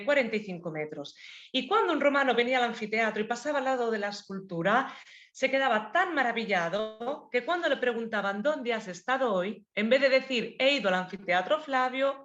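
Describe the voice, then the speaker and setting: A woman from Spain with a Spanish accent, a tour guide explaining history in a webinar, pausing often with fillers. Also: on the high side, a bit bright, muffled recording. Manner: hesitant, frequent fillers, explanatory. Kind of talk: webinar presentation. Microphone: video call.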